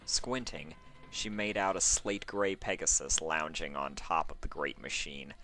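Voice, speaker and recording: A man's voice: extremely low pitch, a little slow, bright, forceful tone, faint music behind. A man with a sore throat reading fan fiction as a scripted story narration.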